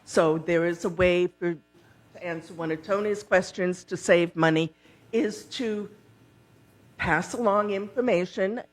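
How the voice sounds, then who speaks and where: Woman explaining, with frequent pauses. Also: lowish voice. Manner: explanatory, pausing often mid-sentence. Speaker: board candidate at a community forum. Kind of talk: impromptu informational remarks at a meeting.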